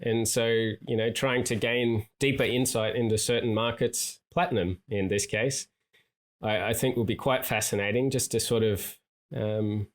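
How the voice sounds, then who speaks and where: A man's voice: on the low side, steady tone, normal speed. A man on a panel discussing markets, talking thoughtfully and leaning on fillers like "you know" and "sort of". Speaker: investment analyst co-panelist. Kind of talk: podcast discussion.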